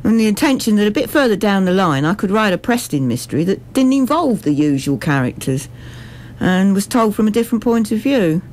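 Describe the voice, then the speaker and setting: A woman's voice: mid-range voice, very animated, brisk, darkish tone. A woman giving articulate, explanatory answers as a radio interview guest. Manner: articulate, full sentences.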